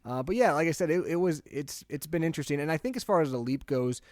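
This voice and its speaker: A man's voice: on the high side, fast, darkish tone, dry recording, studio quality. A young man co-hosting a podcast, chatting casually and laughing easily as he tells stories. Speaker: podcast co-host. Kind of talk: casual chat.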